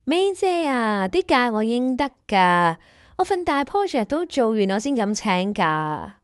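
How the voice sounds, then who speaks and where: A woman's voice: on the high side, big pitch swings, unhurried, dry recording, clean studio sound. A woman playing an earnest young employee in a radio drama, polite and pleading as she stands up for herself. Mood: aggrieved, pleading. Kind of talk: Cantonese workplace radio drama acting.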